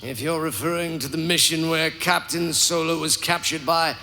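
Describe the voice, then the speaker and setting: Alien rebel advisor, pompous, precise, gravelly, slightly high, sharp tone. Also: male voice, steady tone, normal speed, faint music behind. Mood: measured, precise. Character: formal, fawning alien rebel conspirator. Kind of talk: live audio drama acting. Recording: live stage sound with background noise.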